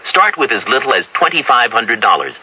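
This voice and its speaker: A man's voice: mid-range voice, sing-song, a little fast, pushed and bright, rough recording. A man working as a commercial announcer, reading a scripted seventies radio advertisement.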